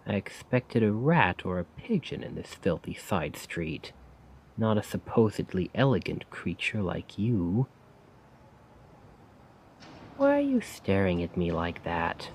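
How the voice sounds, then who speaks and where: A man playing a weary, self-doubting failed poet in a soft-spoken, rambling ASMR roleplay monologue. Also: low voice, big pitch swings, a little hiss or hum, exaggerated voice. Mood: wary, puzzled. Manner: slow, brooding, rambling. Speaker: roleplay audio performer.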